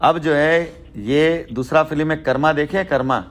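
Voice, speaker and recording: A man's voice: a little high, fairly even intonation, moderate pace. Man holding forth on a call. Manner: rhetorical, repetitive, talking in lists and analogies. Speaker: company boss on a call. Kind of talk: informal business phone conversation. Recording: recorded phone call.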